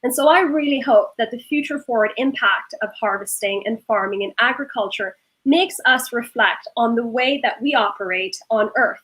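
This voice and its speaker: A woman from Norway speaks on a panel in an earnest, passionate way. Her voice sits a little high. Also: moderate pace, hardly any pauses, fairly bright tone, low-quality recording. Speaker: panelist, food startup founder. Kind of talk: panel discussion.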